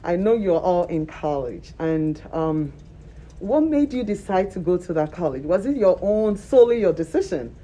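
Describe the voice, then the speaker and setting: A woman's voice: on the low side, sing-song, normal speed, mellow, rough recording. A woman hosting a panel talk show, talking with animation and repeating words for emphasis.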